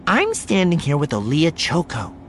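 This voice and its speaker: Man voicing a polished high-pitched woman broadcaster. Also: huge pitch swings, moderate pace, slight background noise. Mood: bright, professional. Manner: practiced, breezy, commanding. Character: polished, brisk, big-smiled human woman news broadcaster. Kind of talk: audiobook character voice.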